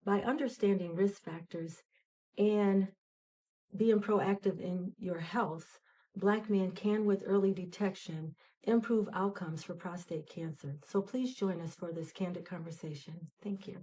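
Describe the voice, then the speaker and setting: A woman hosting the program, delivering a scripted invitation in a measured, inviting way. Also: mid-range voice, fairly even intonation, mellow, rough recording. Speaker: host of the nurses' association event. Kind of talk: scripted announcement.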